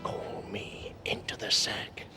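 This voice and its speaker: An actor playing a weary fairy-tale soldier, solemn and imploring, in a film. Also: male voice, slow pace, in a whisper, lightly acted. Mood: solemn, urgent. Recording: film soundtrack with loud organ music.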